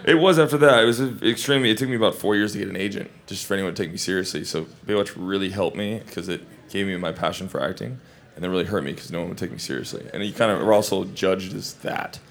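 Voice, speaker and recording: A man from Hawaii, an actor, answering questions at a Q&A in loose, rambling, animated anecdotes. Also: sits low, quick pace, creaky. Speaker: actor and film guest at a Q&A. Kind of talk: casual Q&A storytelling.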